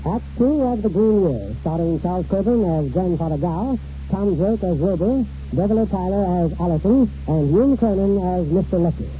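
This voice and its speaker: A man's voice: high-pitched, expressive, normal speed. A man narrating and announcing a radio play in scripted 1940s style. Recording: muffled 1940s radio recording, music underneath.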